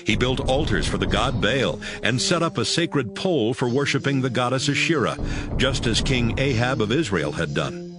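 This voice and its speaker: A man narrating scripture from a script in a measured storytelling manner. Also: medium pitch, lively intonation. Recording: music bed behind narration.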